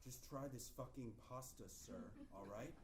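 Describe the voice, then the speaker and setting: A man's voice: medium pitch, moderate pace, natural voice. A man playing a creepy, pushy, oily caterer in a comedy table read. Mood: pushy, unctuous. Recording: roomy table read with background noise.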